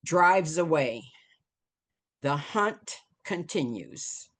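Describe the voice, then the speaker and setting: Low-voiced woman narrating slowly and expressively. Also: animated. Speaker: stage-direction reader. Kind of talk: scripted narration of screenplay directions.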